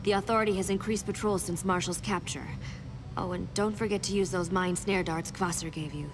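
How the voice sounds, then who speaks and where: A woman's voice: fairly low pitch, steady tone, fairly quick, background noise, lightly acted. An actress playing a guarded, resolute resistance operative in a video game, serious and secretive as she gives instructions. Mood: serious, determined. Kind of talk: video game cutscene acting.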